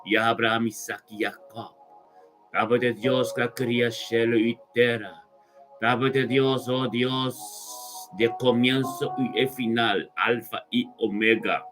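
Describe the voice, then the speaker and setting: High-voiced man praying fervently over music. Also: fairly even intonation, slow, low-quality recording. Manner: devout, repetitive invocations and exclamations. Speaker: religious worshipper leading prayer.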